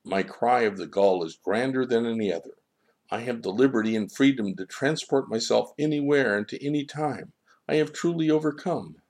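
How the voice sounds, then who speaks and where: Man voicing a proud, protesting gull, pitched up. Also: normal speed, darkish tone, close to an everyday voice. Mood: indignant, boastful. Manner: protesting, boastful, emphatic. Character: proud gull, defensive, flustered, pleading seeker. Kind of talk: storybook character dialogue.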